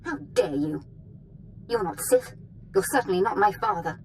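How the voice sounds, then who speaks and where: An actress plays a young dark-side noblewoman in a video game, proud and threatening. Her voice sits at a middle pitch and commands with theatrical disdain. Faint noise runs behind it. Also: sing-song, normal speed, natural voice. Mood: indignant. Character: imperious, menacing young female dark lord, daughter of a dead master. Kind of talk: scripted video game acting.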